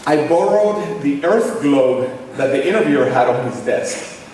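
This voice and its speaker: A man with a Venezuelan accent, likely in his forties, giving a formal commencement address in measured, phrase-by-phrase delivery. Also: a little high, lively intonation, a little mellow, a little hiss or hum. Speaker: commencement speaker. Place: large ceremony hall. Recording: echoey hall recorded from far off.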